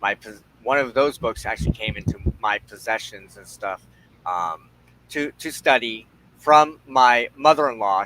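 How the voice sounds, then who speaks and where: Slow, deliberate man in reflective discussion. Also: medium pitch, sharp tone, low-quality recording. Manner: halting, deliberate, breaks phrases into short pieces. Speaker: host of a spiritual discussion show.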